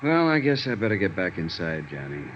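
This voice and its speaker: A gruff, tired police sergeant of old radio noir. His low voice is blunt and hard-boiled. The vintage recording sounds muffled, with faint noise. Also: sing-song, a little fast. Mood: weary, resigned. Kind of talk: 1950s radio noir acting.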